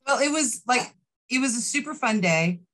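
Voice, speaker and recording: A woman's voice: fairly high pitch, lively intonation, moderate pace, fairly bright tone, slight background noise. A middle-aged woman talking casually as a writer guest in an interview over a video call. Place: indoor room with bookshelves. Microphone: video call microphone.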